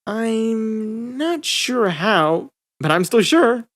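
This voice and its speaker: A reader voicing a bubbly, excitable, hyper teenage cartoon girl in a fan story. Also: mid-range voice, drawn-out words, pitched above the performer's own voice, exaggerated voice. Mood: cheerful. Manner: breezy, excitable, cheerful.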